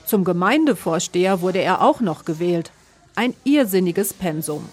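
A woman reporter reading scripted documentary narration in a measured, even way. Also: lowish voice, soft background music.

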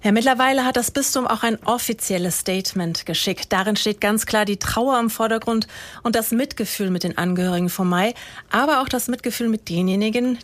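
A woman reporter lays out the facts of a story in a studio exchange. She speaks clearly and explains step by step, at a middle pitch. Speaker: radio reporter. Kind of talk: reporter answering in a studio interview.

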